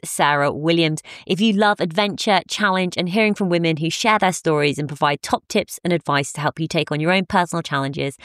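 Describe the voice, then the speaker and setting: Lady host doing the podcast intro, upbeat, lowish voice, dry sound. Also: no room sound. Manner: upbeat, clear and promotional in the intro. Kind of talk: scripted podcast intro and interview questions.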